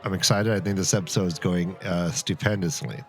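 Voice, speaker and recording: Excitable male podcast host, faint music behind. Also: deep voice, a little fast. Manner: excitable, stumbling over words. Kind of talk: jokey casual podcast chat.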